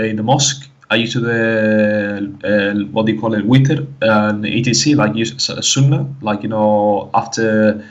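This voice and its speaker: A Spanish-accented man with a somewhat low voice talks as an interview guest. He speaks haltingly, restarting phrases and leaning on "like, you know". The audio is dull and lo-fi. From Canary Islands, Spain. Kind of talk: casual interview talk.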